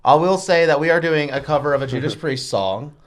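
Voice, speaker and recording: Metal singer guest, man with a high shrill voice, rambly and animated, radio studio. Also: a little fast. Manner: rambling, animated storytelling with restarts. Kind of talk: casual interview chat.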